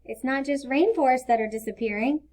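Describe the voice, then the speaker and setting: Patient storybook mother, read by a woman, higher-pitched. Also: brisk, darkish tone, close to an everyday voice. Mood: serious. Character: patient, caring mother explaining things to her child. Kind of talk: children's storybook read-aloud.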